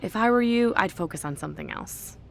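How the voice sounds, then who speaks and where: An actress playing a skeptical, dismissive wrestling show manager in a video game, matter-of-fact and wry. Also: female voice, on the low side, lively intonation, fairly quick, vocal fry, slight background noise. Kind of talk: scripted game dialogue.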